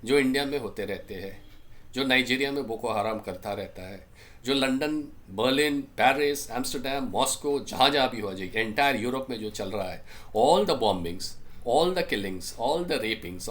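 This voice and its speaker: A man with an Indian accent and a medium-pitched voice talks as a commentator in an informal, explanatory way. He hammers points home by repeating phrases and listing items. He often poses questions and answers them himself. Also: animated, measured pace, a bit bright. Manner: emphatic, repeats phrases and lists, asks rhetorical questions. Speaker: political commentator presenting a video. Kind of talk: informal explanatory monologue mixing Hindi and English.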